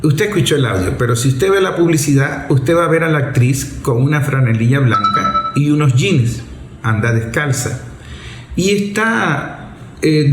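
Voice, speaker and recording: A man giving explanatory opinion commentary in a didactic, emphatic way, posing rhetorical questions. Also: medium pitch. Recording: roomy, echoing voice recording.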